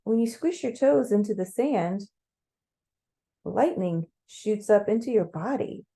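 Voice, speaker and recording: A woman narrating a guided meditation as a story in the second person, conversational and playful, with gentle pauses. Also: mid-range voice, lively intonation, vocal fry. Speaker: storyteller leading a guided visualization.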